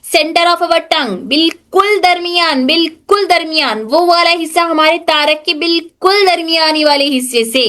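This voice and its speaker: A woman's voice: high voice, fairly bright tone. A woman teaching Tajweed pronunciation in an online class, patiently repeating points and checking that students follow.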